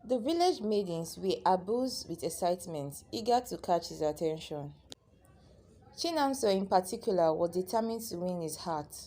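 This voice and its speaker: A woman storyteller narrating a scripted folktale in a dramatic, expressive way. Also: on the low side, normal speed, a little hiss or hum.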